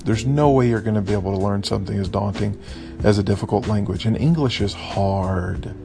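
A man talks loosely in a casual podcast ramble, his voice a little raspy and gravelly with fry at the bottom. He mimics stiff phrases by jumping up in pitch. Faint music plays underneath. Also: a little fast. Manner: animated, mimics voices with high pitch jumps. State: slightly raspy from singing. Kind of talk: casual spoken daily podcast. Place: lives abroad in Vietnam.

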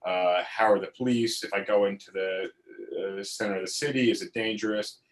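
A man with a fairly low voice tells a casual, rambling story, circling back over his words and swearing freely. His delivery is loose and conversational. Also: steady tone, a little fast. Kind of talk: casual chat.

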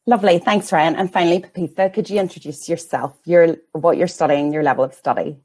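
Woman, webinar host, polite, brisk, slightly low voice. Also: a little mellow. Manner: friendly, brisk, polite prompting. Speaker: host, careers adviser. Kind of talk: hosting a webinar panel.

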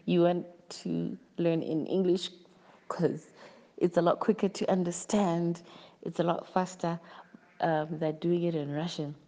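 A woman's voice: on the low side, warm tone, highs cut off. A young woman, an engineering graduate, answering interview questions in a chatty way, often searching for words.

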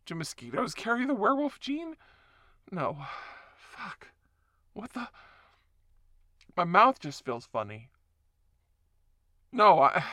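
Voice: mid-range voice, a bit bright, dry recording, clean studio sound. A performer voicing a confused person who has woken up as a werewolf, stammering and swearing through an audio roleplay monologue.

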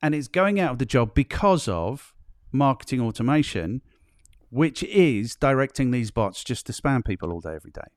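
A man's voice: medium pitch, expressive, moderate pace, no echo. Man chatting in a dry, close recording. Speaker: podcast host. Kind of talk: casual conversational interview talk.

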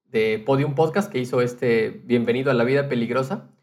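A man's voice: mid-range voice, brisk, darkish tone. A man talking casually and conversationally as a podcast co-host.

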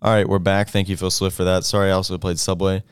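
A young man co-hosting a casual podcast, joking loosely with his friend. His voice sits on the low side and he laughs easily. Faint music runs behind him. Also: level tone, fast. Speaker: podcast co-host.

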